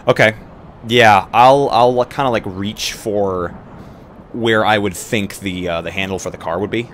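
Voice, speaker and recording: Low-voiced man playing a tabletop roleplay. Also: unhurried, fairly bright tone, slight background noise. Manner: casual, thinking aloud with short reactions. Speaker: roleplay player. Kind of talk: casual improvised roleplay chat.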